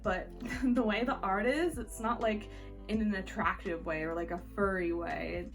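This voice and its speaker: A woman's voice: mid-range voice, steady tone, moderate pace. Chatty woman reviewing books over music. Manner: informal, conversational, wry asides. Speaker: book vlogger. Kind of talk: casual chatty book review.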